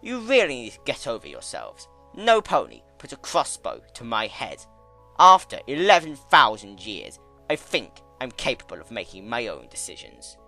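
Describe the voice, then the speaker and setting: A man voicing an aging male creature of chaos, smug, weary and tender, with deliberate, emphatic delivery in a dramatic story reading. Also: mid-range voice, huge pitch swings, pushed and bright, soft background music, heavily acted. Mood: exasperated. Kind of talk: dramatic fan-fiction reading.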